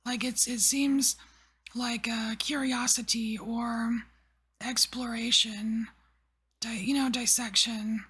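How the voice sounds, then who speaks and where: A woman giving a whispered tarot reading, rambling softly with many fillers. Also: on the high side, fairly even intonation, slow. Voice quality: breathy. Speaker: tarot reader.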